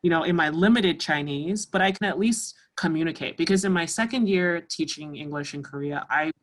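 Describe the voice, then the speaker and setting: A woman's voice: sits low, brisk. A woman from Canada, a musician guest, talking casually in an interview with many fillers.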